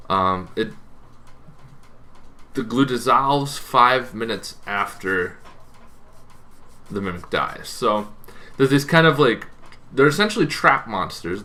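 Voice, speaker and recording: A man with a fairly low, bright and forceful voice gives an informal explainer as host of a tabletop gaming channel. He speaks off the cuff, often correcting himself and tacking on asides. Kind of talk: casual explainer on game monsters, semi-improvised.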